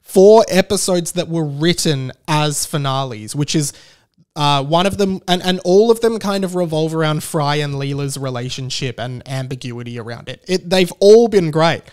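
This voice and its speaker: A man's voice: on the high side, normal speed, a little mellow, smooth. An Australian man hosting a casual solo podcast, chatting in a rambling, self-interrupting way with emphatic repetition. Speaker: podcast host.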